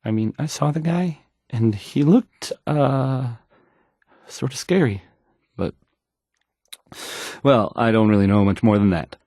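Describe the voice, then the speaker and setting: A man's voice: mid-range voice, mellow, no room sound, clean studio sound. An actor playing an awkward, insecure male radio DJ in a video game, stammering and hesitating through his news links. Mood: anxious, unsure. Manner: halting, stammering, hesitant, awkward, self-doubting. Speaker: radio DJ character.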